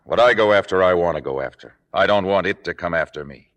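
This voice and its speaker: An actor playing a frank, confident stage director and devoted lover, brisk and plainspoken, in an old-time radio drama. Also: male voice, sits low, lightly acted. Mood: cool, wry. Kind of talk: 1950s radio drama acting. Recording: old radio broadcast with music behind.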